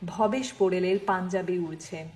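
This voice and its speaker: A woman's voice: lowish voice, moderate pace, darkish tone, slight background noise. A woman reading a scripted story as a dramatic audiobook narrator.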